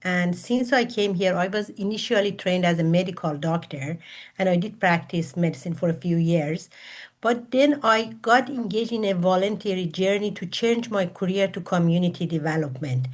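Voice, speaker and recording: A woman's voice: lowish voice, normal speed. Woman, middle-aged, Iranian accent, earnest formal panelist, coach introducing her work, video call. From Iran. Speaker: panelist, women's empowerment coach. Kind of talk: prepared self-introduction at a virtual panel. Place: virtual summit video call.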